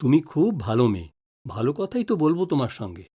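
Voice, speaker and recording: A man's voice: medium pitch, big pitch swings, fast, dark tone, slightly rough. A man playing a patient, easily flustered middle-aged father who is wrongly accused in an audio drama. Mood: gently placating. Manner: stammers and repeats words when flustered. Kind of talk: Bengali audio drama acting.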